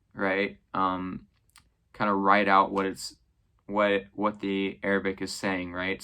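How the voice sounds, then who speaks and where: A man's voice: lowish voice, pushed and bright, smooth. A young man casually explaining a religious text, with many fillers and tag questions. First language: English. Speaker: channel host explaining scripture. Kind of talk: casual explanatory talk to camera.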